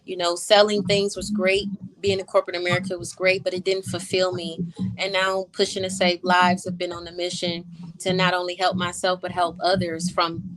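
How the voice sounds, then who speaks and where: A woman's voice: fairly low pitch, monotone, normal speed, fairly bright tone. Confident businesswoman in an interview. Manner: confident, goal-driven business talk. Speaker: guest entrepreneur. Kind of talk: interview answers.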